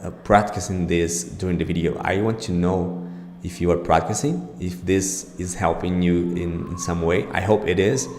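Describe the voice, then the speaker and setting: Male pronunciation teacher, deep and gravelly, reassuring, recorded in an echoey room. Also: steady tone, normal speed, a little mellow, a little hiss or hum. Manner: encouraging, reassuring. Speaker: pronunciation teacher and show host. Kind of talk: informal pronunciation lesson. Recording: echoey room with long reverb.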